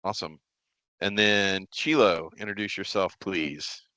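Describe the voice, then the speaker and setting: A man's voice: sits low, steady tone, a little slow, sharp tone, smooth, dry recording. A man hosting a podcast in a casual interview style. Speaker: podcast host.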